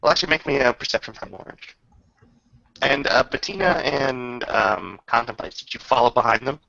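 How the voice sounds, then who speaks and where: A man improvising dramatic, descriptive narration as game master of a tabletop roleplaying game, pausing between phrases. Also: mid-range voice, animated, creaky, sharp tone, dull audio.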